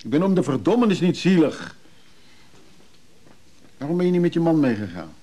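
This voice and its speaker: A man's voice: a little high, very animated, normal speed, mellow. An actor playing a down-and-out man of fifty-eight in a radio drama, weary, stubborn and blunt, muttering with some hesitation. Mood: guarded, stubborn. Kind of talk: naturalistic Dutch radio drama acting.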